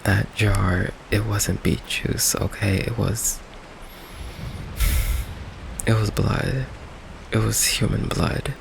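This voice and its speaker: A man playing a gentle, insecure goth vampire boyfriend in an ASMR roleplay, soft-spoken, halting and apologetic, with a gravelly voice. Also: on the low side, background noise, heavily acted. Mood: earnest, defensive. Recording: steady rain ambience behind the voice. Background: rain ambience.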